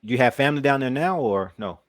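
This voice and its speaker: A man's voice: medium pitch, expressive, fast talker, dry recording, clean studio sound. A man interviewing a young football player, asking friendly questions and teasing him a little. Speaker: podcast interviewer. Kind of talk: casual sports interview.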